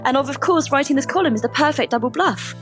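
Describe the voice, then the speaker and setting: A woman's voice: high voice, lively intonation, fast, a bit bright. Woman columnist, native Mandarin speaker, dry ironic delivery, music underneath. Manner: wry, tongue-in-cheek. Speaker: columnist and podcaster. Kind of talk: teaser clip, wry self-description.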